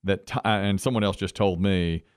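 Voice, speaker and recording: A man's voice: low voice, steady tone, brisk, clear, resonant tone, dry recording, clean studio sound. A middle-aged man co-hosting a podcast, talking casually about sports and culture. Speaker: podcast co-host.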